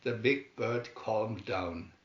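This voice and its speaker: A man reading a children's story aloud in a measured, expressive, scripted narration. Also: on the low side, echoey room, highs cut off. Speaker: storybook reader.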